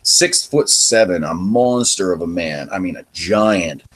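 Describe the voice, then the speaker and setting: A very low, deep male voice with a husky grain, belonging to a young man from Maine. He recounts his own past in a loose, candid ramble. When a point matters to him, he gets heated and emphatic. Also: expressive, a little slow, fairly bright tone. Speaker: podcast host. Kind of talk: personal testimony monologue.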